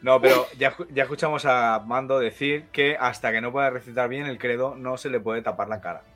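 A man's voice: medium pitch, fairly even intonation, fairly quick, fairly bright tone, soft background music. A man, co-hosting a podcast and insistently arguing his point. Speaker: podcast co-host. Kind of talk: casual chat.

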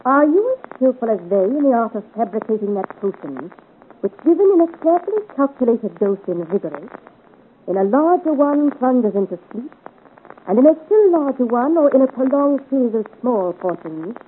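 A woman's voice: fairly high pitch, big pitch swings, moderate pace, phone-quality sound. An actress playing a haughty, scheming noblewoman with a commanding, theatrical delivery, in a 1950s radio serial on a thin, noisy recording. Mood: conspiratorial. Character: imperious, scheming French noblewoman.

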